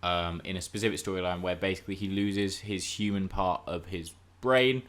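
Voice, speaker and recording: A man's voice: low-pitched, steady tone, a little fast, a bit bright. A man hosting a podcast, chatting casually about pop culture in an opinionated, theatrical way. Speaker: podcast host.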